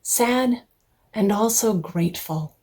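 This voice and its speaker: A woman's voice: medium pitch, slow pace, darkish tone, slightly rough. A middle-aged woman, a singer and intern minister, gives a heartfelt personal address to her congregation.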